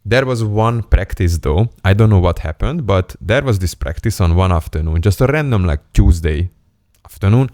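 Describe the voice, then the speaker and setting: A man's voice: sits low, animated, normal speed, a little mellow, dry recording, clean studio sound. A young man, from Hungary, telling a personal story to camera in a playful, self-mocking, candid way. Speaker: self-improvement YouTuber. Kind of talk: casual storytelling monologue to camera.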